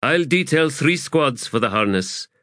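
A man's voice: mid-range voice, expressive, unhurried, fairly bright tone, no echo, studio quality, voice pushed up. A man voicing a no-nonsense female army commander who speaks in a clipped, commanding way. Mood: firm.

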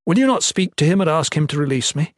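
A man's voice: mid-range voice, a little fast, dry recording, clean studio sound, lightly acted. A man voicing a distressed, contrite young male protector who pleads in formal, courtly wording for a storybook. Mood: desperate begging.

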